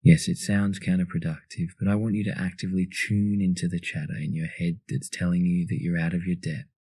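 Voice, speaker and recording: Very deep, dark-toned male meditation guide. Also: fairly quick, slightly rough, no room sound. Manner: calm, soothing, instructional.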